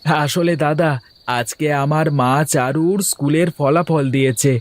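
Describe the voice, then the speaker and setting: A man's voice: on the high side, fairly even intonation, measured pace, dry recording, natural voice. A man voicing a humble, grateful and eager younger employee in a cartoon. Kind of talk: Bengali cartoon dialogue.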